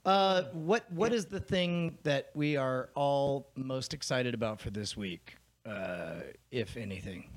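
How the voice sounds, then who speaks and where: A man co-hosting a casual chat podcast, halting and hesitant. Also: mid-range voice.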